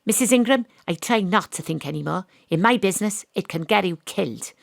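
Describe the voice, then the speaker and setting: A woman playing a world-weary, wry private detective, narrating a noir audio drama in a deadpan way. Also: mid-range voice, fairly quick, creaky, dry recording. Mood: brisk, world-weary. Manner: deadpan, dry. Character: female private detective, world-weary, wry, hard-boiled ex-rugby star.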